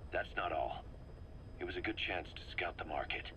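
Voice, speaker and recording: A man's voice: low-pitched, moderate pace, sharp tone, dull audio, a little hiss or hum. An actor playing a bitter middle-aged mercenary commander in a video game, briefing his boss in a terse, intense way. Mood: resolute. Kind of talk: dramatic video game acting, expository briefing.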